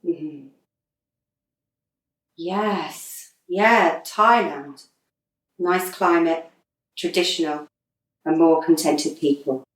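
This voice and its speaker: Woman playing a low-voiced, wry football manager. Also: drawn-out words, pauses often, recorded from a distance. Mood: calm, relieved. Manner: dry, sardonic. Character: wry, unflappable middle-aged female football manager. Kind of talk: radio drama acting. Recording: roomy echo, away from the mic.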